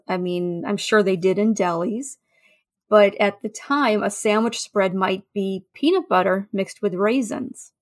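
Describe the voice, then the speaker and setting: A woman guest in a conversational podcast interview, telling anecdotes in a halting, thinking-aloud way. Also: mid-range voice. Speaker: interview guest, food history researcher.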